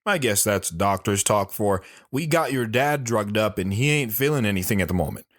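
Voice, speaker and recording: Reflective man recalling boyhood acted by a man, lowish voice, dry close sound, audio drama narration. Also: lively intonation, normal speed, fairly bright tone, dry recording. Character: reflective grown man recounting his boyhood memories. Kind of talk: first-person audio drama storytelling.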